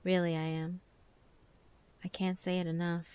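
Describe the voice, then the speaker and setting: A woman voicing a gentle, ancient female fiend in a visual novel, wistful and weary, with a slow, solemn delivery. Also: on the low side, little pitch movement, smooth, natural voice. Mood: tender, disbelieving. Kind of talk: dramatic visual novel narration.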